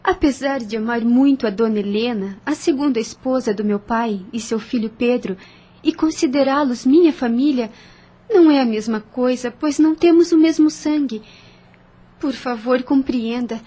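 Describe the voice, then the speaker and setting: A woman's voice: sits high, mellow. A woman playing an earnest, resolute young heiress in an audio novel, speaking formally with a Brazilian accent. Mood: sorrowful, pleading.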